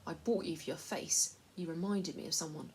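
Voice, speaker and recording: A woman's voice: low voice, fairly even intonation, moderate pace, darkish tone, slight background noise, natural voice. A woman voicing a stern, commanding nobleman who speaks grimly.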